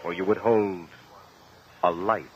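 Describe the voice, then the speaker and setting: A man's voice: sits low, fairly even intonation, takes it slowly. A man announcing a 1950 radio program in dramatic scripted narration. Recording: muffled old radio recording. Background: music.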